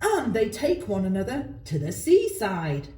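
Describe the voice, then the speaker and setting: Woman as a wheedling monkey girl, swooping sing-song voice, mellow, slow, roomy distant sound. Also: medium pitch, wildly swooping pitch. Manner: coaxing, hinting. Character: pushy, wheedling female monkey.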